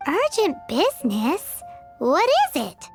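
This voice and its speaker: A woman voicing a tiny, childlike, cheeky and excitable fairy companion in a video game, with a squeaky voice and dramatic manner. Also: takes it slowly, soft background music, exaggerated voice. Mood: haughty. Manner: cheeky, dramatic, speaks of self in third person. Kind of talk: video game character dialogue.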